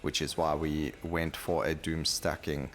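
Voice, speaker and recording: A man's voice: a bass voice, level tone, a little slow, a bit bright. A man giving casual gameplay commentary, thinking aloud and rambling as he plays, with game music behind him. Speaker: gaming video host.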